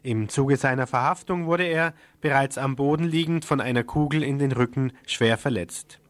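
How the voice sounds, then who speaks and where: A man presenting radio news, reading a prepared report in a formal, measured manner. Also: medium pitch, no room sound.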